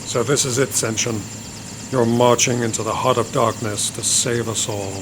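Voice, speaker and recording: An actor playing a skeptical, wry rebel confidant in an audio drama. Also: male voice, medium pitch, fairly even intonation, moderate pace, close to an everyday voice. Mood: skeptical, warning. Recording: noisy helicopter din behind voice.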